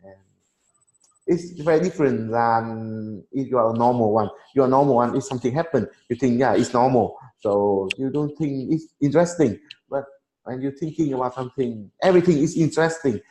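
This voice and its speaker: A man in his thirties, a Vietnamese native speaker, chatting casually in halting English and laughing often. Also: mid-range voice, dark tone. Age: 30s. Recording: echoey room, faint noise.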